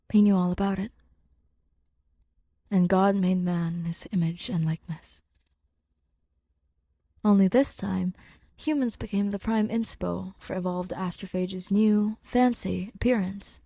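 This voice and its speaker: A woman's voice: medium pitch, dark tone, no echo, clean studio sound. A woman narrating a scripted story as a podfic reader, in a conversational, wry way.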